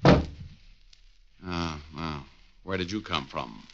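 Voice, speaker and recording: An actor playing a tough, wisecracking private eye who talks in a sardonic, hard-boiled way, in 1940s radio acting style. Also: male voice, rumbling low, very slow, vocal fry, highs cut off, very noisy, heavily acted.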